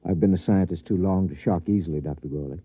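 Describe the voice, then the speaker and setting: A man acting in an old radio drama as an earnest veteran scientist, a professor who speaks haltingly and with wonder. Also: deep voice, slightly rough, narrow, tinny audio. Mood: puzzled, hesitant. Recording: thin noisy old radio recording with hum.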